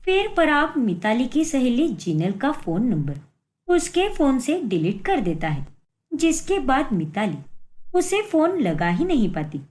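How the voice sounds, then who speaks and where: A woman's voice: high voice, sing-song, unhurried, a little mellow. A woman narrating a scripted cartoon story.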